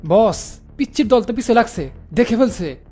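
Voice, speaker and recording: A man's voice: high-pitched, animated, moderate pace, a little mellow, husky. A man voicing a foolish, cheeky kidnapper henchman in a cartoon, complaining and talking back in colloquial Bangladeshi Bengali. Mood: alarmed. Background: music.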